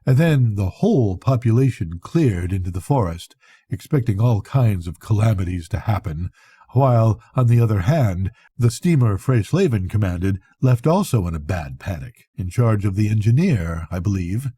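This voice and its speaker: Dark, husky older man reading a story. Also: fairly low pitch, expressive, moderate pace. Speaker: grandfather reading bedtime stories. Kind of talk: expressive literary audiobook reading.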